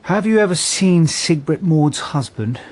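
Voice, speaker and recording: An actor playing a methodical detective inspector in a radio drama, questioning in a direct, terse way. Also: male voice, a little high, lively intonation, a little slow, a little mellow. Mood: probing.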